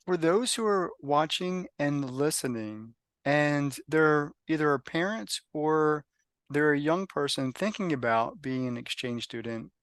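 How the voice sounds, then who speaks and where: A man hosts a podcast interview, asking questions and often repeating them in new words. His voice sits a little high for a man. The sound is dry and close to the mic. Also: unhurried, fairly bright tone, no room sound. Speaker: podcast host.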